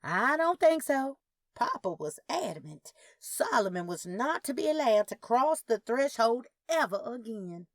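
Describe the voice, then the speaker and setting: A woman playing a dismissive, high-pitched skeptical relative. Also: big pitch swings, measured pace, no room sound, studio quality. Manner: emphatic, dismissive.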